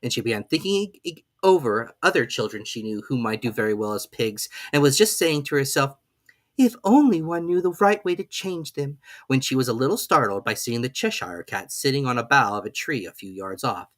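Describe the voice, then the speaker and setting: An audiobook reader narrates a classic children's story expressively. The pitch sits in a middle range and rises and falls in a very animated way. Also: a little fast, few pauses.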